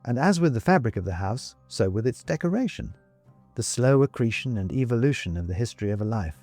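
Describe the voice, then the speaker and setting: A British man reading a scripted story as narrator, measured, wry and emphatic in his delivery. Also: medium pitch, animated, dark tone, soft background music. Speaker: audiobook narrator.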